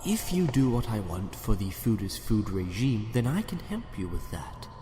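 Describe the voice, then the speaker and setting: A man's voice: on the low side, very animated, normal speed, background noise. A man playing a sinister doctor in an audio drama, politely menacing and condescending, sometimes murmuring. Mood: cold command.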